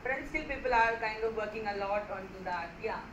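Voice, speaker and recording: A woman giving a guest lecture and answering questions, halting and searching for words with many fillers. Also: mid-range voice, lo-fi sound. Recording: echoey room with background noise.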